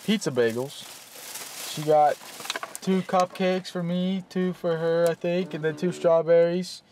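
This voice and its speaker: A man's voice: fairly high pitch, fairly even intonation, unhurried, a little mellow, a little hiss or hum. Playful young man vlogging from a car. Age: young adult. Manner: playful, joking, narrating his day. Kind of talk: casual vlog chat.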